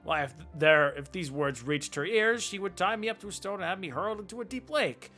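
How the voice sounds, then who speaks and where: A grandiose shopkeeper, put on by a game streamer, full of flourishes and big swoops of pitch. The talk is chatty and mock-polite, declaimed like stage patter over background music. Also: expressive, brisk, bright, forceful tone. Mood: grandiose, jovial. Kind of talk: reading video game dialogue aloud.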